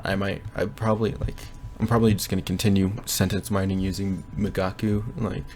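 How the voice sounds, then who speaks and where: A young man giving a casual, rambling progress update from his bedroom, often pausing to think aloud. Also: low voice, flat delivery, darkish tone, a little hiss or hum. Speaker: language learner vlogger. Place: bedroom at home.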